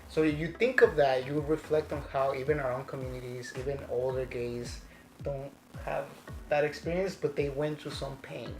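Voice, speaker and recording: A man's voice: mid-range voice, fairly even intonation, normal speed, darkish tone. A man from Mexico, talking passionately in a casual conversation, often asking "right?" to press his points. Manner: passionate, emphatic, says "right?" and "girl". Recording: echoey room with music behind.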